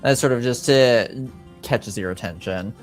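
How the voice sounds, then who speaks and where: A man improvising narration as a game master, speaking haltingly as he searches for words. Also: mid-range voice, faint music behind.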